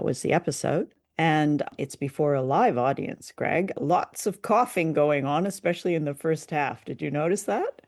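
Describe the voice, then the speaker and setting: A woman's voice: deep voice, a little mellow. A woman hosting a podcast in a casual, conversational review style. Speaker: podcast host.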